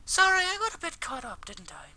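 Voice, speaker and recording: Man putting on a high, bright schoolgirl voice. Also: huge pitch swings, fairly quick, exaggerated voice. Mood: sheepish, teasing. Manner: polite, gently apologetic, teasing. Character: kind, earnest, teasing high school girl. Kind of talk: visual novel character read aloud.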